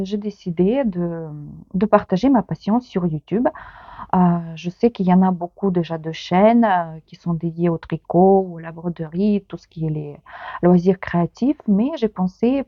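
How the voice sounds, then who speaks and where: A woman in her thirties with a strong Ukrainian accent, chatting to camera about her hobby and pausing and restarting often. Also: mid-range voice, no echo, lo-fi sound. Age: 30s. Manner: hesitant, many pauses and restarts. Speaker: knitting vlogger. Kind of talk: casual chat to camera.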